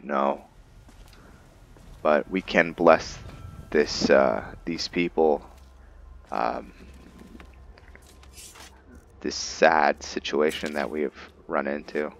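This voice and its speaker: A man's voice: very deep voice, steady tone, very slow, vocal fry, long pauses, highs cut off. A man narrating his video game roleplay casually, thinking aloud in fragments. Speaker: gaming video host roleplaying a character.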